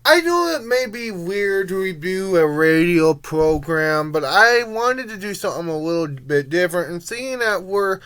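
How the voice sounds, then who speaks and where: A young adult giving a casual review monologue in a rambling, informal way, halting and letting thoughts trail off. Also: mid-range voice, lively intonation, fairly bright tone, smooth. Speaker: amateur reviewer.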